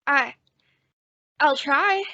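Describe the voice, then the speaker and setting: A meek young deputy, played by a young woman, talks hesitantly in a high voice. He stammers through a western radio play with slightly dull sound. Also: very slow, sharp tone, no room sound, exaggerated voice. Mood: scared. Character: timid, soft-spoken young male reluctant deputy. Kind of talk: old-time western radio drama acting.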